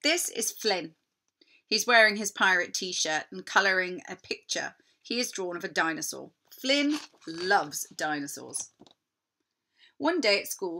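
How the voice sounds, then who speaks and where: A woman narrating a children's story in an expressive, deliberate, dramatic storytelling manner. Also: on the low side. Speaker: storybook reader.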